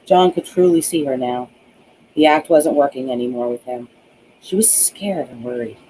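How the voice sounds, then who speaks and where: A man's voice: mid-range voice, mellow, a little hiss or hum, low-quality recording. A man narrating a romance audiobook in a dramatic storytelling way, with the odd stumble. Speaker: author reading own novel aloud.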